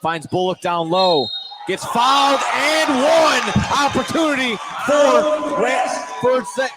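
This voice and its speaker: A man calling a live high school basketball game on radio, excitable and correcting himself as he goes. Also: poor audio. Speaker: play-by-play basketball commentator. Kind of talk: live sports radio play-by-play.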